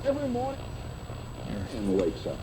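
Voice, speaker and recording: A man's voice: huge pitch swings, moderate pace, warm tone. Fervent male preacher on noisy radio. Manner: exhorting, emphatic, repeats phrases. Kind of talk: fervent sermon. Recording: noisy shortwave radio played through boombox.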